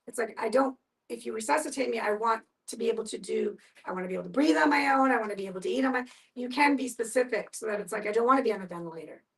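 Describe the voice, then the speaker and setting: A woman's voice: on the high side, racing, creaky. A woman speaking emphatically and explaining things in a group discussion. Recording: voice picked up from a distance.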